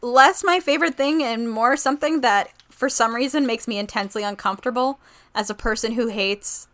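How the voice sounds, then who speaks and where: A woman's voice: on the high side, fairly quick, a bit bright. A woman podcast co-host chatting casually about a TV show in an informal, rambling way, often correcting herself.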